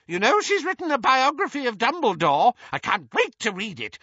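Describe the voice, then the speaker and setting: Male reader putting on very old haughty aunt, shrill cackle, high-pitched, piercingly bright, imperious, audiobook character. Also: huge pitch swings, moderate pace, breathy edge, no room sound, dull audio, full character voice. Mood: gossipy, needling. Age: over 100. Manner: imperious, blunt, tipsy. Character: haughty, gleefully gossiping 107-year-old witch great-aunt.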